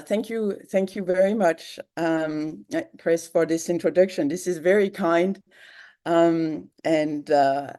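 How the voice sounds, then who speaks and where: French woman, thick french accent, talks slow and polite, lots of ums, zoom call. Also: deep voice, mellow. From France. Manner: polite, self-deprecating, hesitant with fillers. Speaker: seminar speaker. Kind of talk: academic talk over video call. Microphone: video call.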